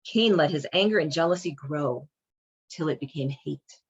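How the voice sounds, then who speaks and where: A woman's voice: deep voice, moderate pace, breathy edge, muffled recording. A woman hosting a casual read-along and commentary, speaking in an informal, wry, irreverent way. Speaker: host reading and commenting on a children's Bible.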